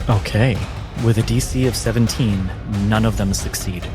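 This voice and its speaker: A man's voice: fairly low pitch, steady tone, moderate pace, over music. A man narrating as game master, describing the scene and calling turns in a tabletop role-playing game.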